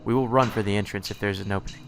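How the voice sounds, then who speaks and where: A man's voice: fairly low pitch, steady tone, rapid-fire, vocal fry, faint music behind. A man narrating a visual novel aloud in the first person, reading steadily with small stumbles. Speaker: let's play narrator.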